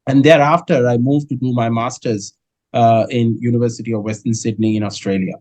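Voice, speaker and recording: A middle-aged man from Kolkata with an Indian English accent, answering interview questions in a measured way with many filler sounds. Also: medium pitch, fairly even intonation, a little mellow, no echo. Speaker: guest, dairy business head.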